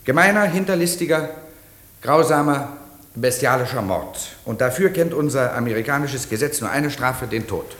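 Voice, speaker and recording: A man's voice: mid-range voice, animated, moderate pace, fairly bright tone, lightly acted. A man playing a stern, indignant prosecutor in a postwar radio play, declaiming emphatically in court. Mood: indignant, solemn. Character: stern prosecutor demanding death, indignant and merciless. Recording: echoey, faintly noisy old radio recording.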